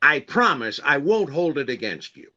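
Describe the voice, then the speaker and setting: A man plays a self-important star of 1940s radio comedy. His voice is bright and very animated, with a slightly dull recording. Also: fairly high pitch, moderate pace, exaggerated voice. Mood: vain, wheedling. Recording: slightly dull, band-limited sound.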